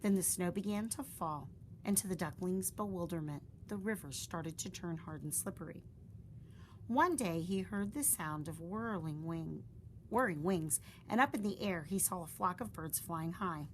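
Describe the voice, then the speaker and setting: Woman narrator for a children's read-aloud; warm storytelling, faint room noise. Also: on the low side, normal speed, low-quality recording. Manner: expressive, gentle storytelling.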